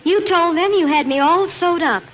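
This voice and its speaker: A young singer about to marry, high-pitched and full of feeling, in 1940s radio drama style. The broadcast is muffled, with some hiss. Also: female voice, moderate pace. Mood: hurt, accusing. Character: heartfelt young woman singer, bride-to-be, quick to feel betrayed.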